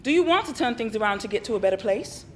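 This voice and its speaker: A woman playing a calm, patient therapist. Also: on the high side, a little hiss or hum, lightly acted. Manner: calm, measured questioning. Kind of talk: stage play acting.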